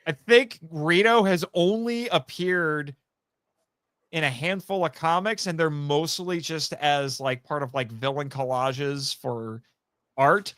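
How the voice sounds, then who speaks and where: Clear, higher-pitched man chatting animatedly. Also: fairly bright tone, dry recording, clean studio sound. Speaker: guest, audio drama writer and voice actor. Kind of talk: casual fan chat.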